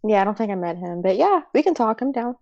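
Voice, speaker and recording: A woman's voice: medium pitch, sing-song, fast talker, dark tone, dull audio. A young American woman from the Atlanta area, chatting casually as a playfully insistent, giggly podcast guest. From Atlanta area, United States. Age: young adult. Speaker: guest sports writer. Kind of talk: casual chat.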